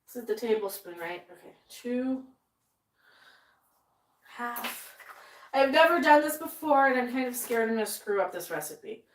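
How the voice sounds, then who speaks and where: A woman's voice: on the high side, lively intonation, unhurried, pauses often, poor audio. A young adult woman hosting a casual livestream while she cooks, talking bluntly, swearing freely and rambling, a little sniffly. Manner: reads chat names aloud and answers them. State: a bit sniffly. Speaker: livestream host.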